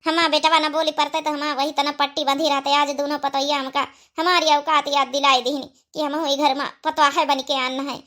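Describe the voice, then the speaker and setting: A woman's voice: high voice, level tone, a little fast, hardly any pauses, sharp tone. A woman playing a domineering, grumbling older village mother-in-law in an Awadhi cartoon comedy, with an exclamatory manner. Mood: remorseful. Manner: scolding, exclamatory.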